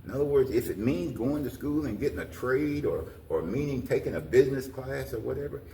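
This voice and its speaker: An older American man giving an informal interview, talking in a halting, folksy way with repeated phrases. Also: medium pitch, lively intonation, creaky, dark tone. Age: 60s or older. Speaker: interview guest, community leader. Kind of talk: informal talk-show interview. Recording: roomy echo, distant mic, faint noise.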